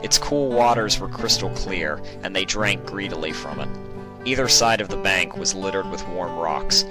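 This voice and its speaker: A man with a very low voice narrates a written story. He reads it as scripted storytelling, with guitar music clearly playing underneath. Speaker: narrator reading fan fiction. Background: instrumental guitar music.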